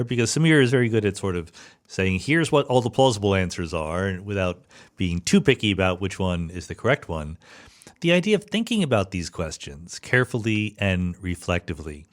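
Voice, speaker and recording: Low-voiced man hosting a thoughtful podcast. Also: very animated, fairly quick. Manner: reflective, casual, rhetorical questions. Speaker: podcast host. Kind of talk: conversational podcast introduction and interviewing.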